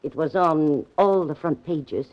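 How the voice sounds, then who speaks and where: A woman's voice: low-pitched, measured pace. An actress playing the sorrowful, wary wife of a long-missing man in a 1940s radio melodrama. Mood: sorrowful, pleading. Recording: dull vintage 1940s radio recording, faint music.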